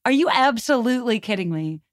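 A woman speaking as a podcast guest, chatting casually about history in an animated, colloquial way full of "like" and acted-out asides. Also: a little high, a little fast, dry recording, clean studio sound. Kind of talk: casual conversational commentary on history.

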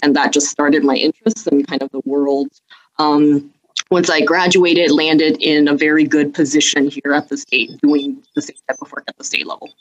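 A woman from southern China, raised in Hawaii with Cantonese as her first language, speaks as a podcast guest. Her voice is low for a woman, and she answers conversationally, with hesitant, measured phrasing. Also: fairly even intonation, muffled recording. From southern China, grew up in Hawaii. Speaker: podcast guest, state health policy official.

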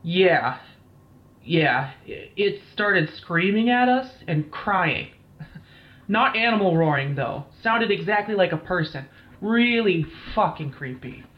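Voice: mid-range voice, lively intonation, takes it slowly, muffled recording. Shaken, foul-mouthed military captain played by an actor. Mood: haunted, angry. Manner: blunt, profane, weary. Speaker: military captain, interviewee in an audio play. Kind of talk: dramatised incident interview, audio drama acting.

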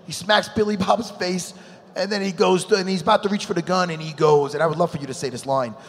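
A man with a New York accent, excitable and rambling in loud casual chat. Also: high voice, quick pace, gravelly. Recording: long hall reverb.

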